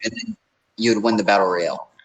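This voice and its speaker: A man's voice: lowish voice, animated, quick pace, poor audio. A young man co-hosting a podcast, explaining things in a matter-of-fact, conversational way. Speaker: podcast co-host. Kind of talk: casual chat, explaining.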